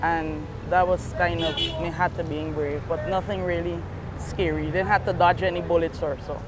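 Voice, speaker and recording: A woman's voice: lowish voice, steady tone, normal speed. A woman police sergeant with a Guyanese accent, answering interview questions outdoors in short, matter-of-fact replies. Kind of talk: street interview. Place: outdoors by a street junction. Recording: outdoor street noise.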